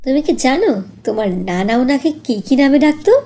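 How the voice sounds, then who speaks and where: A woman voicing a gentle, patient young mother in a cartoon, soothing as she explains. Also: high-pitched, sing-song, moderate pace. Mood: patient, coaxing. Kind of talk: cartoon voice acting.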